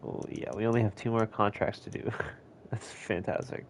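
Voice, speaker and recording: An American man giving rambling, casual gameplay commentary at home, chuckling often and quick to rant. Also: lowish voice, level tone, a little hiss or hum. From United States. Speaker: gaming video host. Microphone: home recording setup.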